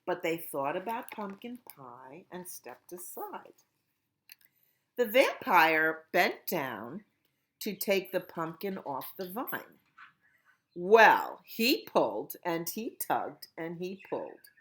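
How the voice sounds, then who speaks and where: A woman children's librarian reading a picture book aloud at storytime, slow and expressive. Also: fairly low pitch.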